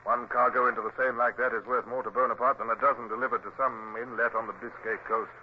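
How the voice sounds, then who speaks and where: A man's voice: medium pitch, level tone, fast talker, slightly rough, muffled recording, natural voice. A man playing a stern British naval commodore in a radio drama, formal, clipped and authoritative, on an old recording. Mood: measured. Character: stern, commanding British naval commodore, narrating his own tale.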